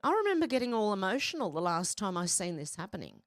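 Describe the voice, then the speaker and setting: A woman's voice: medium pitch, sing-song, fast talker, no echo, clean studio sound. A woman from Ireland hosting an online news commentary show, reading posts aloud and reacting to them in a chatty, conversational way.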